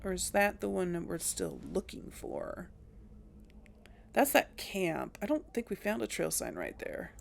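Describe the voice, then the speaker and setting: A woman casually commenting on her gameplay, thinking aloud with hesitant pauses and small chuckles. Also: medium pitch, expressive, gravelly, a little hiss or hum. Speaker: let's play gamer.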